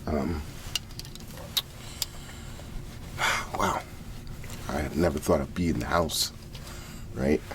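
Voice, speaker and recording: A man playing a hesitant government agent in an ASMR roleplay, speaking in a soft, gravelly half-whisper with halting, reassuring delivery. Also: rumbling low, noisy background. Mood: hesitant, diplomatic. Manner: halting, deliberate, reassuring, with long pauses. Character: hesitant, placating male government agent negotiating with a farmer.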